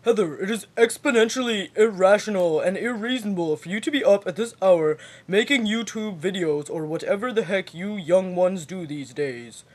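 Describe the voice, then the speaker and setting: A woman's voice: mid-range voice, normal speed, few pauses, darkish tone, voice pushed up, lightly acted. A teenage girl voicing her middle-aged father as a pompous man who scolds her in big words. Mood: scolding. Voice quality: stiff, wordy scolding.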